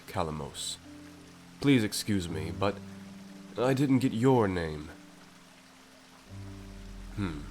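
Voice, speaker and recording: A man voicing a courtly, composed Butterfly King in an ASMR story roleplay, speaking in a regal, formal and deliberate manner. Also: fairly low pitch. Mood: curious, measured.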